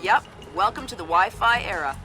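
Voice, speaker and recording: An actress playing a young, breezy tech operator in a video game, casual and playful. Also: female voice, mid-range voice, expressive, moderate pace, close to an everyday voice. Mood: teasing. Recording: music playing behind.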